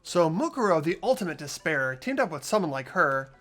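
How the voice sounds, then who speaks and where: A man's voice: fairly high pitch, very animated, fairly quick, fairly bright tone, soft background music. A man playing an earnest, determined teenage boy detective in an amateur video game dub, insistent and accusatory.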